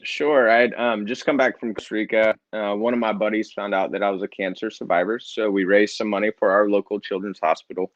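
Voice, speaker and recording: A middle-aged man, a nonprofit founder, sharing his story in a relaxed, earnest way with light self-deprecating humor. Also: on the low side, brisk, hardly any pauses, no echo, lo-fi sound. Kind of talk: casual interview storytelling.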